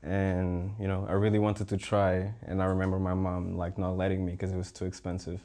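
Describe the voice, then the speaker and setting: A young man from Portugal, a visual artist speaking as a panel guest in a relaxed, rambling way with many fillers. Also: deep voice, fairly quick. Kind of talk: casual conversational talk.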